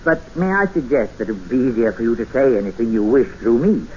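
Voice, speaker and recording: A man acts an older jungle settler who keeps control through courtesy. His delivery is mannered and very animated in pitch, with musing hums and pauses. It comes through a dull, faintly noisy 1950s radio drama recording. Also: lightly acted. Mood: politely controlling. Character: courteous, menacing older male jungle settler holding a captive.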